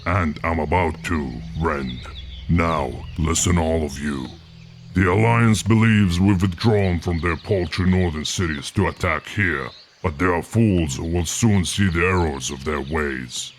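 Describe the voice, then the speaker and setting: Deep-voiced orc war chief, stern and authoritative, fantasy game cutscene, noisy ambient backdrop. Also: male voice. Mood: commanding. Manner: authoritative, measured.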